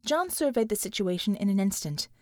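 A woman's voice: mid-range voice, very animated, fairly quick, dry recording, studio quality. A woman reading dramatic action fiction as an audiobook narrator, in a scripted storytelling style.

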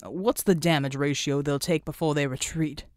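Woman as male superhero team leader for an audiobook: low-voiced, courteous, assured, commanding, closely recorded. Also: fairly even intonation, no echo, studio quality, close to an everyday voice. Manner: polite, measured, commanding.

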